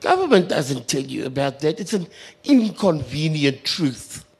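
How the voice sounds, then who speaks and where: Animated, high-pitched South African man, indignant. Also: unhurried, husky. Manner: indignant, emphatic, sarcastic, repeats phrases for effect. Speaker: community radio sector representative on a panel. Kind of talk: impassioned panel speech.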